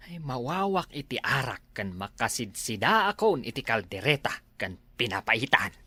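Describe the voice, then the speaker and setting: A man's voice: mid-range voice, big pitch swings, normal speed, pushed and bright, husky, no room sound, exaggerated voice. A man playing a mischievous village drinker in a comedy drama, with an Ilocano accent and exaggerated groans and interjections. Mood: gleeful, scheming. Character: mischievous village drinker, gleeful then whimpering with regret. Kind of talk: Ilocano comedy radio-style drama acting.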